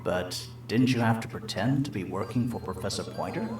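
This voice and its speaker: A man's voice: fairly low pitch, brisk, close to an everyday voice. A man playing a baffled, inquisitive detective in a mystery video game, asking incredulous questions. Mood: puzzled, incredulous. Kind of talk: scripted video game dialogue. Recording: echoey, with music behind.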